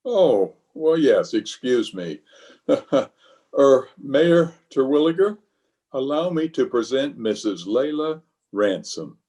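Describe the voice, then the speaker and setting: A man's voice: mid-range voice, sing-song, slow pace, warm tone. A man playing a pompous middle-aged office boss in old-time radio comedy, stammering and hedging as he blusters. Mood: flustered. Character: flustered, evasive, pompous middle-aged male water department boss.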